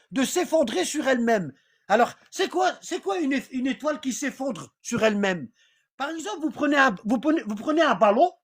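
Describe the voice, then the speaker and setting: High-voiced rambling teacher on a call. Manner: repetitive, rambling, checks for understanding; reads aloud from text, then comments. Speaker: religious teacher. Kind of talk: informal online class.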